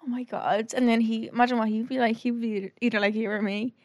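A woman's voice: on the high side, steady tone, quick pace, a little mellow, no room sound, studio quality. A young Irish woman co-hosting a casual podcast chat, giggly and prone to trailing off mid-sentence. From Ireland. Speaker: podcast co-host.

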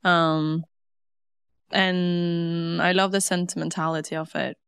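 A young Norwegian woman, speaking English as her second language, chats eagerly as a guest. Her voice is smooth and clear, pitched a little low. The recording is dry and close. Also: level tone, takes it slowly, dry recording. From Norway. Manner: warm, eager, many "like" fillers. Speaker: guest, singer. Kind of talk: casual interview.

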